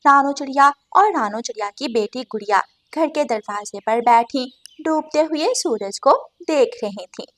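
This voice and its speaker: High-pitched woman story narrator. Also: measured pace, hardly any pauses, a bit bright. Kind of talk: scripted cartoon story narration.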